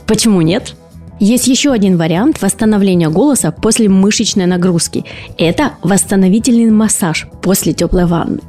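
A woman's voice: medium pitch, moderate pace, mellow, soft background music. A woman speech and voice therapist hosting an educational podcast, explaining patiently and posing rhetorical questions to her listeners.

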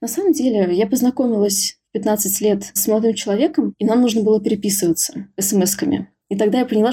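Breathy young woman with a mellow voice. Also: mid-range voice. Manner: candid, chatty, amused storytelling. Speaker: podcast guest, Tatar language learner. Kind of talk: casual interview talk.

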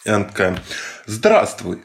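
A young man co-hosting a podcast, telling a story in a casual, animated way, mimicking other people's lines and swearing often. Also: on the low side, moderate pace. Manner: animated retelling with acted-out quotes and heavy swearing. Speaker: podcast co-host.

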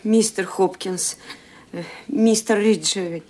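An actress playing an earnest, deferential housemaid in a radio play, speaking carefully and respectfully. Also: female voice, medium pitch, fairly even intonation, slow pace, a little mellow.

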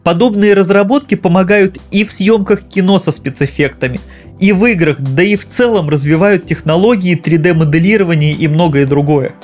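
High-pitched man narrating a video essay. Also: fairly quick, soft background music. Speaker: film critic hosting a video essay. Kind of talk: scripted narration.

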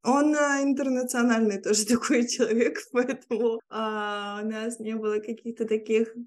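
A woman's voice: fairly high pitch, fairly even intonation, moderate pace, a little mellow. A woman from Lithuania, a PR specialist and journalist, chatting reflectively as a guest in an interview and searching for words as she goes. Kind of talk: casual interview conversation.

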